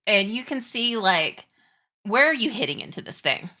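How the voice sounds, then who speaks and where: A woman game master improvising narration and rules in a halting, conversational way. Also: a little high, very animated, bright, forceful tone. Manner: halting, conversational, pieced-together descriptions. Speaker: game master of a tabletop roleplaying game.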